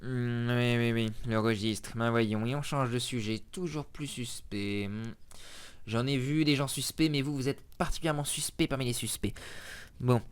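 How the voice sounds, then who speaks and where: A grizzled monk archivist in his fifties, suspicious of everyone, keeps his voice low and muttering, as a male storyteller puts him on. His pitch sits mid-range and his talk is full of needling asides and distrust. It comes across as a storybook villain of small power. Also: steady tone, moderate pace, clean tone, lightly acted.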